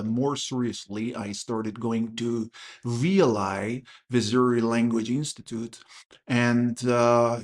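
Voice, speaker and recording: A man in his thirties, an English teacher hosting a casual podcast chat, who talks hesitantly with many fillers and restarts. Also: medium pitch. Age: 30s. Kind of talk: casual conversation.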